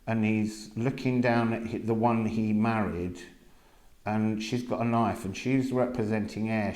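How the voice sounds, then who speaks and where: A man giving a casual spoken card reading, musing slowly with many pauses. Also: lowish voice, a little mellow. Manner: slow, musing, drawn-out delivery with many pauses. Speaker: tarot and astrology reader. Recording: echoey room with faint noise.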